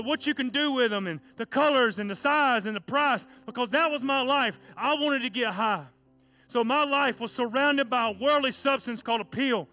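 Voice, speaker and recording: A children's pastor, preaching fervently and then praying, loud and passionate at the peaks. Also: male voice, very high voice. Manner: fervent shouting preacher, stomps and cries. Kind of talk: sermon and closing prayer. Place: church sanctuary. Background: soft guitar music.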